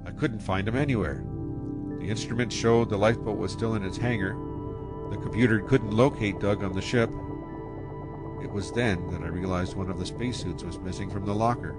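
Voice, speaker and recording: A man's voice: lowish voice, brisk, loud music behind the voice, lightly acted. An actor playing a dutiful male spaceship captain who also narrates, earnest and at times frantic. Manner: earnest, rising to frantic shouting. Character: male ship captain Ray, dutiful, anxious. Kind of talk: audio drama acting and first-person narration.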